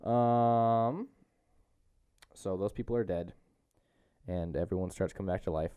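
A man's voice: sits low, a little slow, smooth, no room sound. Clean, close-sounding man recapping casually. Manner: excitable, slangy retelling. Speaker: podcast host. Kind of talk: casual movie review chat.

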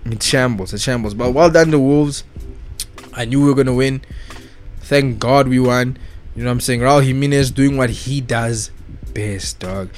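A young man giving a casual, slangy football rant, excitable and full of swearing and repetition. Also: mid-range voice, moderate pace, soft background music. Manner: slangy, excitable, swears a lot, repeats himself. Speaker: football vlogger.

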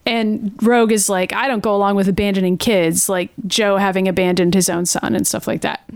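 A woman's voice: medium pitch, fairly even intonation, a little fast, clean tone, dry recording. A woman podcast host giving a casual, sweary recap in an informal, exasperated and opinionated way.

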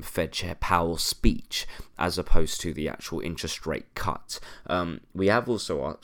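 A man with a very low voice, stuffy and congested from a cold. He talks through market news alone as a podcast host, steady and explanatory, with frequent "um" fillers. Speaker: podcast host, currency analyst. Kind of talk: solo market commentary podcast.

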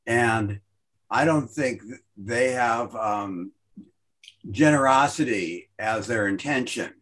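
A man's voice: mid-range voice, slow pace, fairly bright tone. A man leading a meditation group discussion, asking friendly questions and often restarting his phrases.

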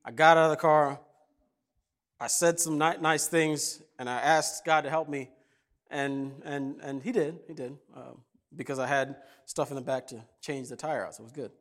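A man's voice: a little high, moderate pace. A man from Kenya preaching a casual, jokey sermon and telling stories. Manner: conversational, jokey, animated. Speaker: pastor.

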